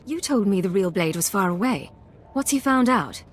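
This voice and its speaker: An actress playing a cool, poised young female imperial agent in a video game, crisp and controlled. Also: mid-range voice, expressive, normal speed, lightly acted. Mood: professional.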